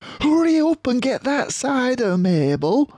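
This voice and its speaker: A man's voice: very high voice, very animated, slow, a little mellow, no echo, studio quality, pitched above the performer's own voice, heavily acted. A man voicing a bossy, impatient woman calling out in a storybook reading, with a scolding manner.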